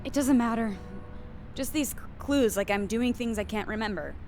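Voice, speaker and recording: A woman playing a distraught bar owner in a sci-fi radio drama, speaking in a halting, guarded way. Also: a little high, a little mellow, soft background music, close to an everyday voice. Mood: troubled, guarded.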